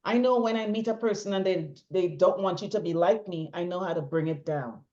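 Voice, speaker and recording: Middle-aged female interview guest, chatty and emphatic, repetitive phrasing, muffled low-fidelity audio. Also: brisk, darkish tone. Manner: talkative, emphatic, repeats phrases for stress. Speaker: interview guest, bereaved mother. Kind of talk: personal testimony in conversation.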